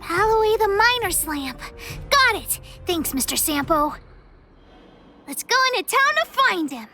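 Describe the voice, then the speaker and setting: Earnest little girl for a video game: piercingly high, bright, childlike, music behind. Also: big pitch swings, unhurried, heavily acted. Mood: eager. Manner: speaks of herself in third person, childish.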